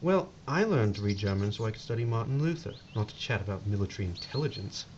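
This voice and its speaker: An actor playing a wry, courteous American army captain with an American accent in an audio drama. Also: male voice, lowish voice, expressive, fast talker, natural voice. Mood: dry, measured. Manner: wry, polite. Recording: dull lo-fi recording with faint noise.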